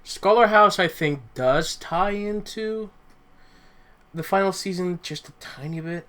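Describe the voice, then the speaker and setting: A man's voice: sits high, unhurried, fairly bright tone. A young adult man co-hosting a podcast in casual chat, often repeating words as he works out his thought. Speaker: podcast co-host. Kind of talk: casual chat reviewing audio dramas.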